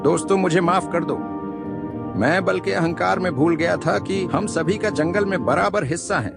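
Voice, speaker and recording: A man's voice: high-pitched, normal speed, creaky. A man voicing a proud lion king in a children's cartoon, speaking in a declamatory way. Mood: apologetic. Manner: boastful, declamatory. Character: arrogant, bullying lion king of the jungle. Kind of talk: cartoon moral story acting in Hindi. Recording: loud music behind.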